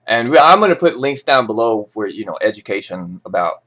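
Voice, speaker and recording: A man's voice: fairly low pitch, big pitch swings, a little fast, a bit bright. A man talking casually and digressively, mixing read-out financial news with his own opinionated, informal asides. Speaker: vlogger reading forex news with commentary. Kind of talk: casual rambling talk mixed with read-out news.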